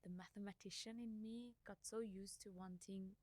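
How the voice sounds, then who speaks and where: A young woman from India whose first language is Gujarati, talking reflectively as a podcast guest and thinking aloud with soft laughs. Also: medium pitch, fairly even intonation, moderate pace. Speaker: podcast guest, company CEO. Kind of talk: casual interview conversation.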